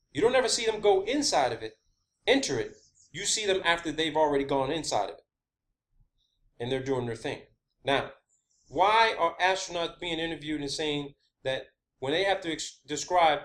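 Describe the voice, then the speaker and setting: High-pitched man, emphatic and profane. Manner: emphatic, profane, repeats phrases. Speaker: online video host. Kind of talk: informal rambling science-theory monologue.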